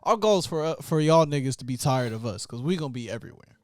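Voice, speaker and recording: A man's voice: a little high, expressive, fast talker. A man in his twenties co-hosting a podcast, laid-back and slangy, talking straight to his listeners. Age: 20s. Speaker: podcast co-host. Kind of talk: casual chat.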